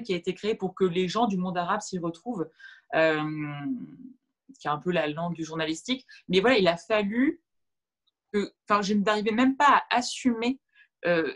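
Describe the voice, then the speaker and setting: Woman in her 30s on a webcam call from home, arabic first language, lots of euh and restarts while she thinks. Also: mid-range voice. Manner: reflective, thinks aloud with many fillers and restarts; gestures while talking. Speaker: interview guest. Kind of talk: casual video-call interview. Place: room at home with shelves. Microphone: video call webcam.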